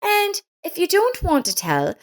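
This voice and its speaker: A woman's voice: very high voice, wildly swooping pitch, unhurried, voice pushed up, exaggerated voice. A woman voicing a kind, insistent female neighbor in a story, with emphatic, concerned delivery. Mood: kind, soothing.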